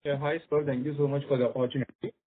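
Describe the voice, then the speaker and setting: An Indian-accented male analyst with a medium-pitched voice comes through an unclear, narrow phone line. He puts formal questions to management in a polite, deferential way. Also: flat delivery, rapid-fire. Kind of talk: formal questions on an earnings call.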